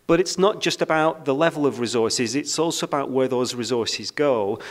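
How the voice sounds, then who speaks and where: A man's voice: mid-range voice, normal speed. A British man giving a conference keynote speech on a stage, talking with frequent ums and uhs and a self-deprecating touch. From United Kingdom. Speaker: keynote speaker. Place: conference stage under lights.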